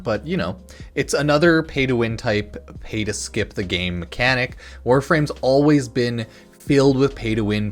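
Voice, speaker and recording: Male gaming creator, somewhat low pitch, casual opinionated commentary, slangy and rambling, faint music bed underneath. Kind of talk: casual off-the-cuff news commentary.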